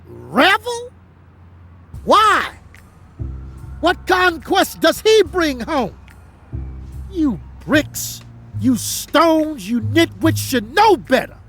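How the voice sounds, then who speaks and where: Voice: sits high, wildly swooping pitch, drawn-out words, long pauses, fairly bright tone, soft background music, heavily acted. An actor playing an indignant, scornful official who rebukes commoners in declamatory, mocking speeches in a theatrical audio drama.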